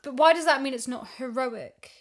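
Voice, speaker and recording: Female podcast guest, fairly high voice, conversational, emphatic and keen to argue a point. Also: moderate pace. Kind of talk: casual discussion of an audio drama.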